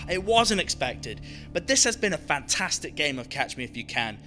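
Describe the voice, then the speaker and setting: A man's voice: fairly high pitch, sing-song, bright, forceful tone, faint music behind. A man playing a gloating, mocking serial killer villain in an amateur radio play, with theatrical, taunting delivery. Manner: mocking, taunting, drawn-out menace.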